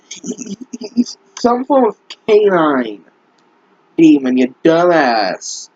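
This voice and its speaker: Sarcastic husky young man ranting. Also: mid-range voice, no echo. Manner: sarcastic, exasperated, swears often. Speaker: fanfic reader and commentator. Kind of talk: mocking commentary on a bad story. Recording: dull lo-fi dry mic.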